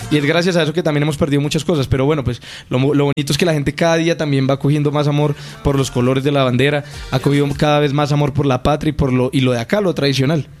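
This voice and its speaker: Fast-talking singer. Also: male voice, on the high side, steady tone, darkish tone, faint music behind. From Colombia. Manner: eager, talks at length, passionate. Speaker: singer guest. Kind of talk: radio interview.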